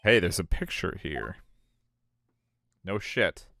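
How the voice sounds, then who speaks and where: Low-voiced man muttering through a game stream. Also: sing-song, takes it slowly, creaky, bright, forceful tone, faint music behind. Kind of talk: casual gameplay commentary.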